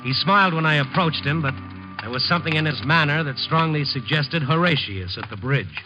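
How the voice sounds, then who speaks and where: An actor playing a wry, world-weary newspaper reporter in a 1950s radio drama, narrating and talking in a dry, wisecracking way. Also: male voice, a little high, lively intonation, fairly quick, soft background music, close to an everyday voice. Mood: wry, reflective. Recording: muffled old 1950s radio broadcast.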